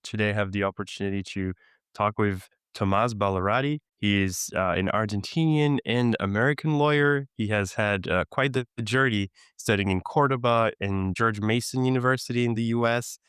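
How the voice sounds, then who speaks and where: A man's voice: lowish voice, normal speed, a bit bright, clear, resonant tone, no echo, studio quality. A man hosting an interview podcast, eagerly introducing his guest and asking questions. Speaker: podcast host.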